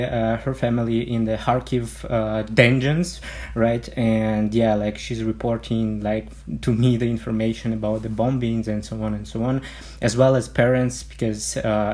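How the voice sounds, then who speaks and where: A man from Ukraine, a guest on a radio interview, who speaks haltingly and fills with "like" and "uh". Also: lowish voice, a little mellow.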